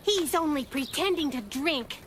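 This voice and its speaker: A woman voicing a quick-witted, sassy little girl in a children's cartoon, with a wry, confident delivery. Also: high-pitched, lively intonation, background noise. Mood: skeptical. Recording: music running under the voice.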